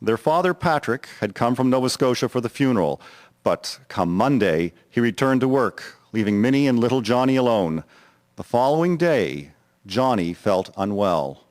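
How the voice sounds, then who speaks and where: A man giving a solemn, measured scripted narration of a historical drama. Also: medium pitch, lively intonation, a little mellow, dry recording.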